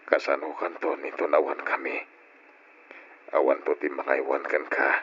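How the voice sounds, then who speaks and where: Weary aging Ilocano father, very low voice. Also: little pitch movement, normal speed, breathy edge, exaggerated voice. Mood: weary, sorrowful. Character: weary, worried aging father, caring for his family. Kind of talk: old radio drama acting. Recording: muffled lo-fi radio drama recording.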